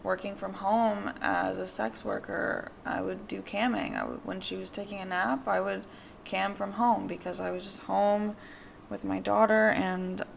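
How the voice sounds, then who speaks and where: A woman's voice: medium pitch, animated, unhurried, gravelly, a little hiss or hum. A woman in her twenties hosting a podcast, telling her own story in a candid, rambling way with many fillers. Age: 20s. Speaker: podcast host. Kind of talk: personal monologue.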